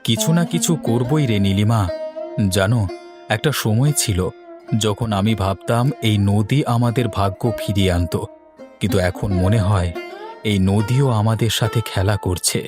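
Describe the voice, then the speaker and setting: A man's voice: on the low side, normal speed, close to an everyday voice. Man playing a plaintive poor village father over music. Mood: weary, bitter. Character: poor, despairing village father, pleading and bitter. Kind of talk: cartoon dialogue, melodramatic. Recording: music behind the voice. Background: background music.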